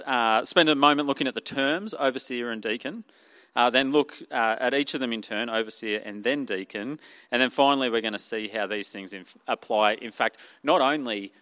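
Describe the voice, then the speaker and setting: Australian male pastor, earnest teacher, stumbles and restarts, mid pitch, long hall reverb. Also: a bit bright. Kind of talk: sermon.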